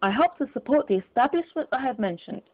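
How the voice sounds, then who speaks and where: A woman's voice: high voice, expressive, fast talker, pitched above the performer's own voice. A woman voicing a cold, curt, indignant old miser in a dramatised storybook reading. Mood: curt, dismissive. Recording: muffled phone-like narrow sound.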